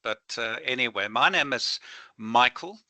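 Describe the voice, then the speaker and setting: A man's voice: mid-range voice, expressive, measured pace, sharp tone, no echo. A man from South Africa, an alumnus on a video call, asking a question in a rambling way with many fillers. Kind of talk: casual remarks on a video call. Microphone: home computer setup on a video call.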